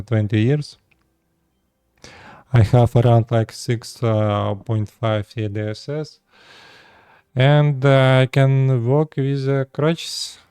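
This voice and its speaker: A 36-year-old man from Russia with a Russian accent, speaking halting second-language English as he talks casually to his viewers. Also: mid-range voice, mellow, clear, resonant tone, no echo. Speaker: vlogger. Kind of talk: casual personal talk to camera.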